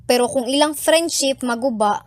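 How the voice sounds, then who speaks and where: A woman's voice: high-pitched, moderate pace, dry recording, close to an everyday voice. A woman playing a giggly, earnest student calling a radio show, cheeky and a little hesitant, with a Filipino accent. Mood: earnest, thoughtful. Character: young female student caller, giggly, earnest, opinionated. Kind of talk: acted call-in conversation.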